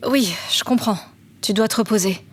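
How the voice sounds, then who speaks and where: A young actress playing a weary, hurt student in an audio drama. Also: female voice, medium pitch, normal speed, natural voice. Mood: weary, patient. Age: young adult. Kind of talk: French audio drama acting.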